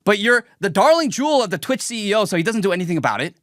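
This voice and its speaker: A man's voice: high voice, sing-song, rapid-fire, a bit bright, no room sound, clean studio sound. A young man streaming a mocking, combative political rant, talking emphatically as a show host. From raised in the United States. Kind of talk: livestream reaction rant.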